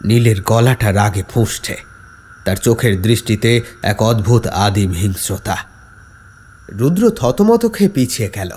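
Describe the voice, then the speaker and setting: A man's voice: lowish voice, a little slow, a little hiss or hum. A man narrating a scripted horror story in a dramatic, suspenseful way. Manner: suspenseful, expressive.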